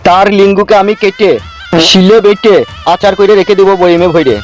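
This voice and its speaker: A man's voice: sits high, little pitch movement, moderate pace, over music, close to an everyday voice. A man voicing a bossy, scolding wedding priest in a comedy cartoon, chanting and instructing.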